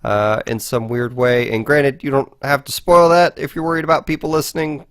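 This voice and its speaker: A man's voice: medium pitch, steady tone, normal speed, no echo, studio quality. A man co-hosting a podcast in casual chat, rambling and hesitant, with a self-deprecating manner. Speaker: podcast co-host.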